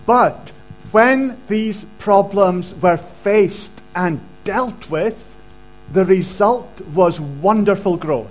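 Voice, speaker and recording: High-voiced man preaching in short phrases. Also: rough recording. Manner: speaks in short phrases, pausing for translation. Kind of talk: sermon with interpreter.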